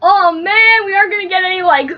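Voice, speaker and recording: A woman's voice: extremely high pitch, quick pace. A woman co-host in casual comic banter, complaining in an exaggerated, exclamatory way, on a dull lo-fi recording. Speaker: podcast co-host.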